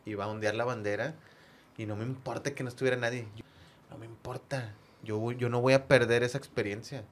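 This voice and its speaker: A man with a northern Mexican accent chatting casually and telling anecdotes as a podcast guest, in a halting way with words repeated for emphasis. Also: mid-range voice, steady tone. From Monterrey area, northern Mexico. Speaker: podcast guest, football fan.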